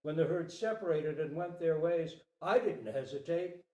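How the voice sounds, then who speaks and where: Man, storyteller and teacher, higher-pitched, theatrical, measured, distant microphone. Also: dark tone, lo-fi sound. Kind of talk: dramatic story reading and spoken teaching. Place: talk at a Zen center retreat. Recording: roomy echo, distant microphone.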